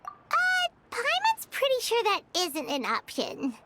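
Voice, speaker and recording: A woman voicing a tiny, excitable, greedy fairy companion in a video game, with a squeaky childlike voice and an exclamatory manner. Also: takes it slowly, background noise, exaggerated voice. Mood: torn, indecisive. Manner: refers to self in third person, exclamatory. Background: music.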